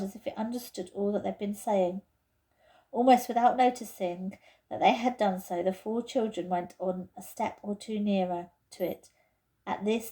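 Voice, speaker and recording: A woman reading a children's story aloud as an expressive narrator. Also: medium pitch, rough recording. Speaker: teacher reading aloud to pupils.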